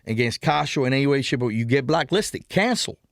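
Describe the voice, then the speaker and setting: A middle-aged man from Cuba with a Cuban Spanish accent, telling stories in a podcast interview in an emphatic, animated way, repeating words and calling the host "man" and "brother.". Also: medium pitch, a little fast, creaky, no echo, clean studio sound. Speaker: interview guest.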